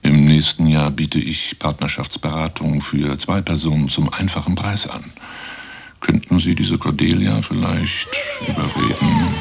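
An actor playing a bombastic, domineering quack professor in a satirical radio play, declaiming and exhorting grandly. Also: male voice, extremely low pitch, level tone, unhurried, creaky, slight background noise, heavily acted. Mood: insistent. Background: music.

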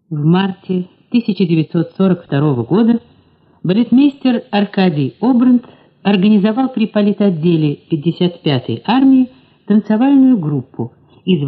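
Formal lady announcer reading an intro, very melodic delivery, dull old recording. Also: medium pitch. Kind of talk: scripted announcer introduction to an audio play.

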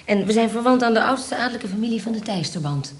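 An actress playing a cunning, self-assured female swindler in a Dutch radio play, poised and smooth-talking. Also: on the high side, lively intonation, quick pace, slight background noise, close to an everyday voice. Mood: poised, courteous. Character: cunning, self-assured female con artist posing as decorator.

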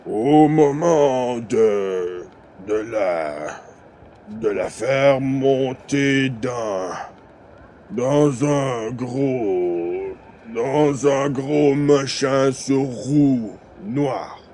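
Very slow, dazed actor playing a drugged henchman. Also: mid-range voice, slight background noise. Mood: dazed, compliant. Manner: dazed, flat, halting answers. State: groggy from a drug, acted. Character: drugged, dim-witted male henchman under truth serum. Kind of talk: science-fiction audio drama acting.

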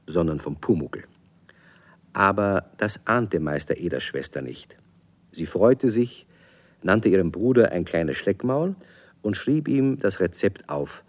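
A man narrating a scripted children's story in a radio play. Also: deep voice.

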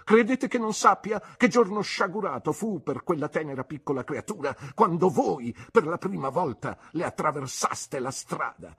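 A fierce, scornful older aunt, put on by a male reader, raised high above his usual voice. The tone is husky and breathy, and the pitch swings widely as she commands and mocks. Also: animated, moderate pace, few pauses, a bit bright, exaggerated voice. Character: fierce, sharp-tongued older aunt, a woman. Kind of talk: audiobook character reading.

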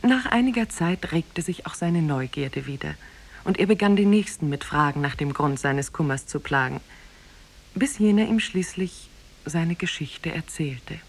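A woman as narrator of a 1950s radio drama, telling a fairy tale in a measured, scripted way. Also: low voice, lively intonation, heavily acted. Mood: calm narrating.

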